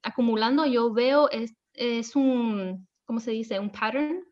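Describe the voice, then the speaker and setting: A woman journalist speaks in a thoughtful way, pausing at times to search for the right word. Her voice is fairly high, and the recording sounds dull and lo-fi. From Belgium and El Salvador. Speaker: journalist guest. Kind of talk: casual podcast conversation.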